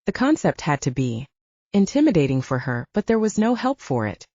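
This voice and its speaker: A woman's voice: sits low, sing-song, a little fast, warm tone, dry recording. A woman reading scripted fiction as an audiobook narrator, in a slightly dull recording.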